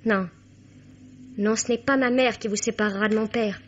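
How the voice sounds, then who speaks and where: A woman's voice: medium pitch, fairly even intonation, normal speed, a little hiss or hum, close to an everyday voice. An actress playing an indignant, wounded teenage girl in a French radio mystery, accusing and impassioned. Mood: wounded, bitter. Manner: accusing, impassioned, formal address. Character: indignant, wounded teenage girl confronting her father's mistress. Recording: dull, lo-fi vintage radio sound.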